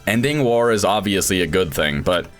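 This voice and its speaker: A man's voice: low-pitched, expressive, moderate pace. A man reading a visual novel aloud as a brooding, self-doubting, hot-tempered young amateur scientist, in intense, dramatic inner monologue. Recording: music behind the voice.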